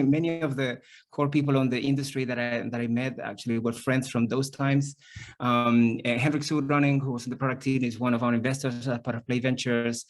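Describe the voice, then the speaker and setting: A middle-aged man from Chile, a native Spanish speaker with a Chilean accent, lively and storytelling as a company CEO in a call interview. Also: mid-range voice. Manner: lively, storytelling, frequent restarts. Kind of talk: business interview on a video call.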